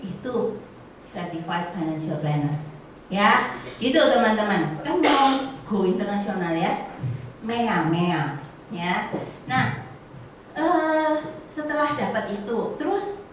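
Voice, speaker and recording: A woman's voice: on the high side, slight background noise. Chatty woman in an echoey room. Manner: chatty, laughs often, addresses audience as friends. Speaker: insurance agent. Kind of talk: motivational sharing talk. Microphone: camera or phone at a distance. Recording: echoey room, far from the mic.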